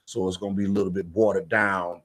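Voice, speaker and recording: A man's voice: sits low, level tone, quick pace. An American man talking casually as a radio guest, plain-spoken and slangy, repeating phrases for emphasis. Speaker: stage playwright and director, radio guest.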